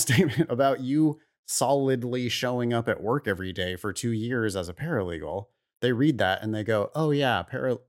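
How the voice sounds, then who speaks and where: A man co-hosting an advice podcast, talking casually and giving blunt, opinionated takes. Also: mid-range voice. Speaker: podcast host.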